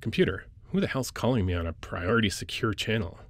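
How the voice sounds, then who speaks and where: Man acting as low, gravelly space-military medic. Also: lively intonation, fast talker, faint music behind. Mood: exasperated. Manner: informal, hesitant, teasing, conversational. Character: caring, teasing male space-military medic lieutenant, playfully gruff. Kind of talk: intimate ASMR audio roleplay acting.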